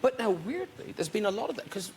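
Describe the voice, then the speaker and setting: A man's voice: high voice, very animated, fast, darkish tone, slight background noise. A man with an Irish accent hosting a talk show, chatty and teasing as he questions guests. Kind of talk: casual interviewing. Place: TV studio with audience.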